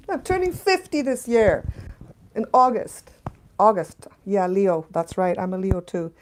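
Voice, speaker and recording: A woman's voice: medium pitch, measured pace, low-quality recording. A woman in her late forties with a mixed Canadian, German and Scottish accent, talking casually to viewers on a live stream and laughing often. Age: 40s. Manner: chatty, laughs often, shifts between hushed and bright. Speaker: channel host reading viewer comments.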